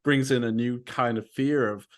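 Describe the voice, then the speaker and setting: A man's voice: mid-range voice, fairly even intonation, moderate pace. A man in a casual discussion, speaking in an earnest, explanatory way. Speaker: panel member.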